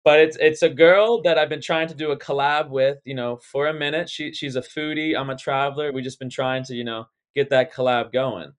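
A man's voice: mid-range voice, steady tone, fairly quick, few pauses. A young man hosting a casual podcast interview, laid-back and slangy, rambling loosely as he asks questions. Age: young adult. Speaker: podcast host.

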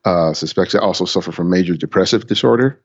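A man with a fairly low voice talks through a casual podcast conversation. He takes his time, choosing a slow and deliberate pace. His audio is dull and lo-fi, as if the top end were missing. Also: slightly rough.

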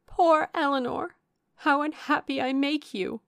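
A woman's voice: sits high, fairly even intonation, unhurried, gravelly, a bit bright, no room sound, pitched above the performer's own voice, heavily acted. A woman narrator voicing an anguished, heartbroken young woman from a classic novel, passionate and exclaiming wildly. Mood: miserable. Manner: wild, exclaiming, lamenting.

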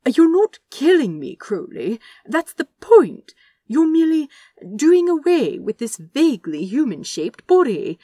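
A woman puts on a fussy, genteel British angel who coaxes and frets in lively, swooping tones. The voice is mellow and slightly husky, dry and close with no room sound. He sounds mannered and old-fashioned. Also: high voice, a little slow, no echo, voice pushed up. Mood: reassuring. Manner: prim, polite, old-fashioned phrasing. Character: prim fussy male angel, genteel and polite.

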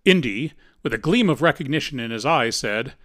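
A man's voice: medium pitch, animated, a little fast, a bit bright. A man delivering scripted comedic narration as the narrator of a humor piece.

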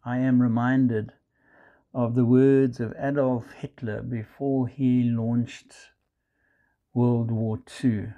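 Slow South African preacher. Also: male voice, mid-range voice, little pitch movement, narrow, tinny audio. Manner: measured, deliberate preaching with scripture quotes. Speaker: pastor. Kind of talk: sermon.

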